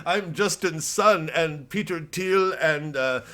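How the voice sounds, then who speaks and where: A man voicing a brash, swaggering tech billionaire in a comedy routine, boastful and defiant with drawn-out words. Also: a little high, sharp tone, pitched above the performer's own voice. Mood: brash, gloating. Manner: boastful, laughing, drawn-out delivery.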